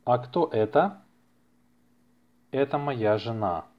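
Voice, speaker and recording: Male language-teacher podcast host, mid-pitched, very slow and deliberate, careful repetition, slightly muffled lo-fi sound. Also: animated. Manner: deliberate, carefully enunciated, repeats phrases for learners. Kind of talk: slow language-lesson podcast reading practice dialogues.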